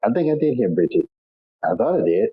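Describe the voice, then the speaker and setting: A man's voice: medium pitch, very animated, brisk, slightly rough, no room sound. A man hosting a casual call-in show, stuffed up and a little nasal, talking in an easygoing, rambling way with lots of "um"s. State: congested, stuffed up. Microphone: phone or conference call line. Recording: tinny phone line, dry.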